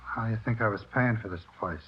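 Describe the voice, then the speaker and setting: An actor playing a sarcastic small-time crook, bitter and cornered, in a 1950s radio crime drama. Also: male voice, on the low side, fast talker, slight background noise, lightly acted. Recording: dull, muffled old radio recording.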